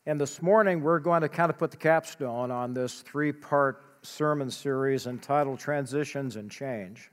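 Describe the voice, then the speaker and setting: A man leading a church service as pastor, praying and preaching in a measured way with frequent hesitant pauses. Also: medium pitch. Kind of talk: church announcement, prayer and sermon. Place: church sanctuary. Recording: long church hall reverb.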